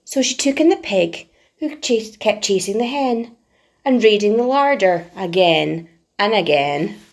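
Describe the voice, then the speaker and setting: A woman reading a children's bedtime story in expressive, rhythmic rhyming narration, like a primary teacher. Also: medium pitch, unhurried.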